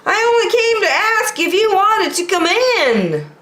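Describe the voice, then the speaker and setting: Woman putting on a high, sing-song storybook buffalo. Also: very animated, a little slow, fairly bright tone, roomy sound, full character voice. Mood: boastful, cheery. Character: boastful cheery male buffalo, grinning and timid underneath.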